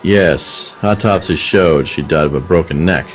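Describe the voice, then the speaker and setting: A man playing an authoritative police detective in a radio play, deliberate and no-nonsense. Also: a bass voice, muffled recording, faint music behind. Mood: probing.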